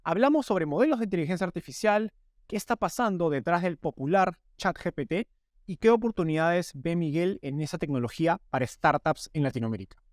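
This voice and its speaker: A man's voice: on the high side, expressive, brisk, no echo, studio quality. A man hosting an interview podcast, presenting and asking questions. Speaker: podcast host. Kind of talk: scripted narration and podcast interviewing.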